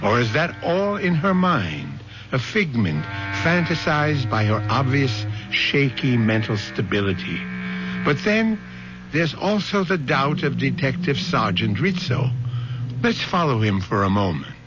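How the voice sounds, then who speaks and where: A man hosting a radio mystery drama, narrating in a measured way and posing questions to the listener. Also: medium pitch, sing-song. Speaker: host and narrator. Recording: dull lo-fi radio broadcast. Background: loud music.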